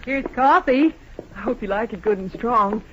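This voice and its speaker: A woman's voice: on the high side, lively intonation, normal speed, lightly acted. An actress playing a polite, composed woman of the West in a radio western. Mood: gentle, subdued. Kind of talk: 1950s radio western acting. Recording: dull, noisy old radio recording.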